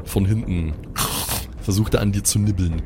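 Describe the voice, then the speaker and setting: A man's voice: on the low side, steady tone, moderate pace, noisy background. A man improvising colloquial, dramatic narration as game master of a pen and paper audio play.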